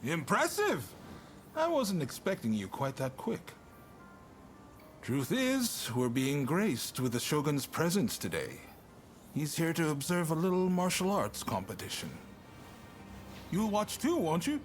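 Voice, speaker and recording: A man's voice: fairly high pitch, wildly swooping pitch, a little slow, long pauses, faint music behind. A man playing an affable, commanding shogunate military officer in a video game cutscene, hearty and persuasive. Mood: impressed, eager.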